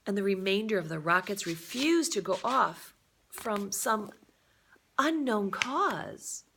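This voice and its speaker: A woman reading a novel aloud as a storyteller, with a deliberate, dramatic and expressive delivery. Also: medium pitch, gravelly, slight background noise.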